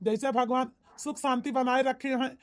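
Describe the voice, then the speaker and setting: Female performer doing cartoon village folk, high pitch, Awadhi accent, emphatic declamatory speech, family comedy style. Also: steady tone, normal speed, lightly acted. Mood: pleased, reassuring. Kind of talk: exaggerated cartoon comedy dialogue.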